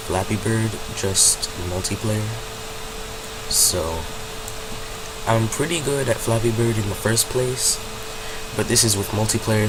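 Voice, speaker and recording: A young man with a cold, casually commenting on a game he is playing, rambling and correcting himself as he goes. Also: low-pitched. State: has a cold, stuffy nose. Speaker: gaming channel host. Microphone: home desk mic. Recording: constant hum and background noise.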